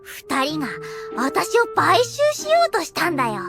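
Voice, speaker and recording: A woman's voice: high-pitched, big pitch swings, moderate pace, vocal fry, music in the background, exaggerated voice. A woman playing a cheeky, girlish fantasy guardian in an exaggerated anime audio drama style.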